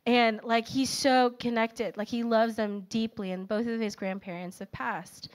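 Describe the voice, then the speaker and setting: A woman in her thirties preaches in a chatty, animated way, full of personal stories and filler words. Her voice sits a little high. She speaks into a handheld microphone on a church auditorium stage. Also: measured pace. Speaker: speaker at a young adults' service. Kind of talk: conversational sermon.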